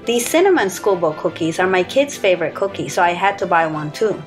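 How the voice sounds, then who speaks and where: A woman's voice: fairly low pitch, a little fast. Woman narrating a shopping haul over music. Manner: even, matter-of-fact listing of purchases. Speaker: grocery haul vlogger. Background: soft background music.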